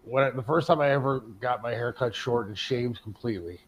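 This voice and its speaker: A man's voice: mid-range voice, a little fast, slightly rough, rough recording. A middle-aged man hosting a talk show, chatting and bantering informally with a salty tongue. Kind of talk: casual chat and banter.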